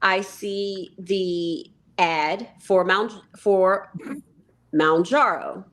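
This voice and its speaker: A woman's voice: medium pitch, very slow, a bit bright. Emphatic woman in casual chat. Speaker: podcast co-host.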